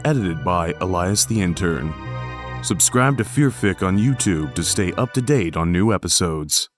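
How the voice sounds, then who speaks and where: A man reading the show's closing credits in a steady announcer delivery. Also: deep voice, normal speed. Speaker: outro announcer. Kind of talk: scripted credits read. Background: music.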